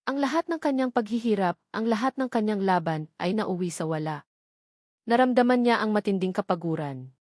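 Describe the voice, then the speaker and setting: A woman's voice: mid-range voice, a little mellow, no room sound, clean studio sound. A woman reading scripted dramatic narration of a romance story as a storyteller.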